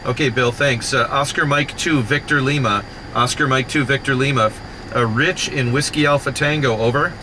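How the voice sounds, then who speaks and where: A man working a ham radio contest from a vehicle, briskly trading call signs in the phonetic alphabet with frequent "uh" fillers. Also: medium pitch. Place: inside a moving vehicle. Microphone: mobile radio microphone. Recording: loud background noise from a mobile radio station.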